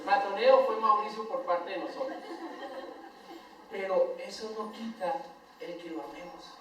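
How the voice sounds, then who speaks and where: An older Colombian man preaching a sermon as pastor, swinging between loud emphatic lines and soft tender asides. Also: sits high, unhurried, slight background noise. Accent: Colombian Spanish. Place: church sanctuary. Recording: echoey church hall, voice from far off the mic.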